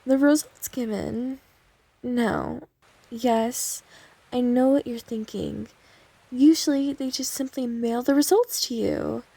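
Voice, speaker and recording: Woman acting a coy, gravelly-edged vampire woman, unhurried. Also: fairly high pitch, exaggerated voice. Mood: coy, reassuring. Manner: coy, softly teasing, rambling explanations. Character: obsessive female vampire lab technician, coy and eerily polite. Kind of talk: scripted ASMR roleplay addressed to the listener.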